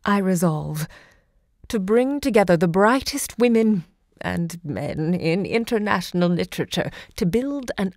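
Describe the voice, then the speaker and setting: An actress playing a self-assured literary salon hostess in an audio drama, poised and savouring each word. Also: female voice, on the low side, a little mellow, dry recording, clean studio sound. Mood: resolute, proud.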